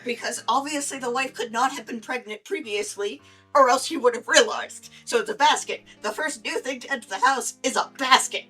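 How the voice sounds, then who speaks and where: A woman's voice: on the high side, brisk, pushed and bright, breathy edge, poor audio. A woman co-host interjecting in casual banter, teasing and a little argumentative. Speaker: co-host interjecting in a story reading. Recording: music bed under the voice. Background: music.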